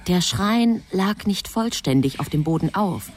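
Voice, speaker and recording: A woman's voice: on the low side, animated, unhurried, noisy background. A woman reading scripted literary narration as the narrator of a radio drama.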